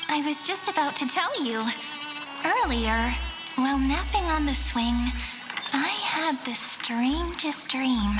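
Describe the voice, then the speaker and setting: A playful young childhood friend from a fantasy game. Her high voice is gentle and coy, teasing at a leisurely pace. Music plays clearly behind her. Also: animated, slow pace. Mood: gentle, wondering. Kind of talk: video game character dialogue.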